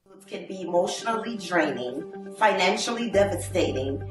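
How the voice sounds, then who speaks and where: A woman's voice: on the low side, lively intonation, normal speed. Woman reading an ad over music. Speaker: commercial voice-over. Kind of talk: scripted ad read. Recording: distant echoey read over music.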